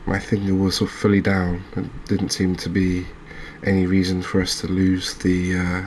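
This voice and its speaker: A man with an English accent giving soft-spoken ASMR game commentary in a hushed, unhurried, hesitant manner. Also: low voice, completely flat delivery, a little hiss or hum. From England. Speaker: gaming video host.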